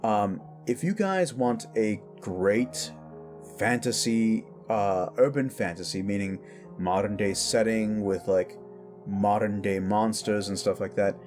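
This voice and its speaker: A man's voice: lowish voice, a little mellow. A man hosting his own audio channel, chatting in a rambling, enthusiastic, casual outro. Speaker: audio creator and host.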